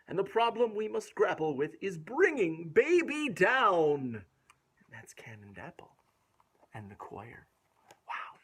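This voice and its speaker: A man reading a rhyming picture book aloud to young children in a playful, expressive way with dramatic emphasis. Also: high-pitched. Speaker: children's storyteller.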